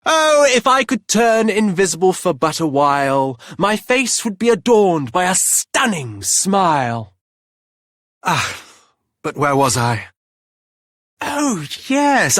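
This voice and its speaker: A man's voice: on the high side, fairly bright tone, no room sound, clean studio sound. A man voicing a flamboyant, wistful travelling poet in a video game, florid and theatrical. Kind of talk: video game character voice acting.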